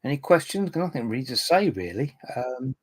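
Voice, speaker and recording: Husky, chatty man in his fifties. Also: mid-range voice, big pitch swings, fairly quick, no echo. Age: 50s. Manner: rambling, chatty, reads and answers viewer comments. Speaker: livestream host. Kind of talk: casual live-stream chat.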